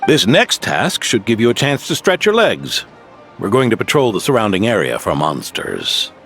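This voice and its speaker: A man voicing an aging, stubborn veteran knight near retirement, genial and gruff, in a game cutscene. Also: fairly low pitch, huge pitch swings, normal speed, fairly bright tone, faint music behind, full character voice. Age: older.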